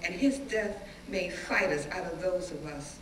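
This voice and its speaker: A woman performing a dramatic stage reading as a defiant, plain-spoken schoolteacher recalling riots, with animated, emphatic storytelling and dramatic pauses. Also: on the low side, distant mic. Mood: plain-spoken reminiscing. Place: echoey indoor room, live reading before an audience. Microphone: distant microphone. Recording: roomy, noisy hall recording from afar.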